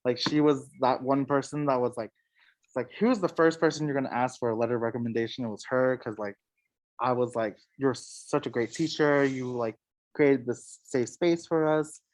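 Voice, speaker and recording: A man's voice: mid-range voice, normal speed. A young man whose first language is Vietnamese, a French teacher speaking earnestly on a panel, with many fillers. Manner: relaxed, filler-heavy, earnest. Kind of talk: casual panel discussion.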